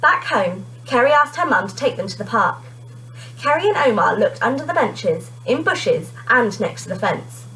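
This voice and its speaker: A woman teacher reading a children's storybook aloud in an expressive narrating style. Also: a little high, moderate pace, a little hiss or hum, poor audio.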